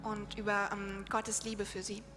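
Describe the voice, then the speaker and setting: A woman's voice: medium pitch, monotone, measured pace. A woman interpreting live from a stage, passing on each phrase in turn. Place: large event hall. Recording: hall reverb, music behind, live PA. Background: music.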